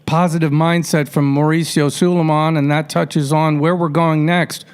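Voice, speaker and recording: A man's voice: on the high side, flat delivery, moderate pace, clean tone. A man hosting a radio interview, chatty and colloquial as he sets up questions for a guest. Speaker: radio show host, interviewer.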